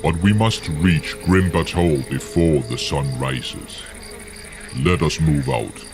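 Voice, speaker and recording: A man's voice: extremely low pitch, slow pace. A man playing a stern, proud and impatient orc chieftain in a fantasy game, grave and commanding. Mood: grave resolve. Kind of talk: fantasy game cutscene acting. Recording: music under the voice.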